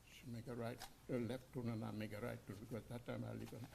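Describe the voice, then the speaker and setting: Gravelly, mellow older Senegalese man. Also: medium pitch, flat delivery, a little hiss or hum. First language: a language of Senegal. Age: 60s. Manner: halting, non-native English. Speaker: mourner giving a tribute. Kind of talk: informal spoken tribute, anecdote.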